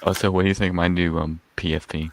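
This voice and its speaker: A man's voice: low-pitched, flat delivery, quick pace, background noise. A man chatting casually with friends on a stream. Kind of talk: casual voice-chat banter.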